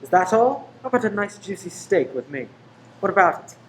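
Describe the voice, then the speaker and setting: A woman's voice: medium pitch, very animated, brisk, lightly acted. A woman playing a charming young man in a radio play, jaunty and inquisitive. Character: charming, probing young man about town named Ted. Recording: noisy room recording.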